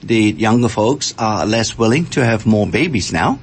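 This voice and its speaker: An older man from Malaysia, a scientist and interview guest, explaining his points with halting starts. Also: on the low side, lively intonation. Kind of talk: radio interview answers.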